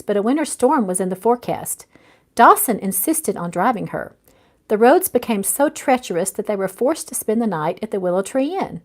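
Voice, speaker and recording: Woman reading a romance novel aloud. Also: medium pitch. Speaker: author reading their own novel aloud. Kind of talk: audiobook-style narration of a romance novel, live reading.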